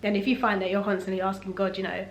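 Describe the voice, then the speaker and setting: Young woman, husky from a cough, reflective faith-centred vlog chat, medium pitch, roomy echo with faint background hum. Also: quick pace. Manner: relaxed, reflective and faith-focused. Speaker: vlogger. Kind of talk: casual sit-down talk. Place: room at home. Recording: echoey room, faint ambience.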